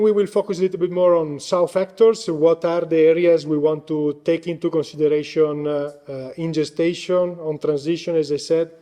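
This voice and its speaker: A man's voice: fairly high pitch, mellow. Man presenting while losing his voice. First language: Italian. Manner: hesitant, frequent "uh" fillers. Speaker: guest speaker. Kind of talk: conference presentation.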